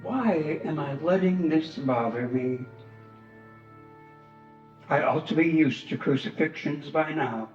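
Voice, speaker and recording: Slow, brooding Roman governor played by a man. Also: a little high, a little mellow. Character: troubled, world-weary Roman governor brooding over crucifixions. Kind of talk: dramatic monologue in a church Passion play. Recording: dull, echoing church recording with music.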